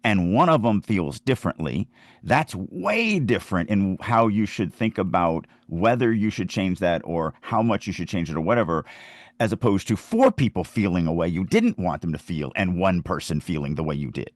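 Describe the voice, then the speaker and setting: A man's voice: low-pitched, animated, normal speed, dry recording. A man hosting a podcast and teaching about writing in casual, emphatic, insistent talk. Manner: emphatic, insistent, stresses key words.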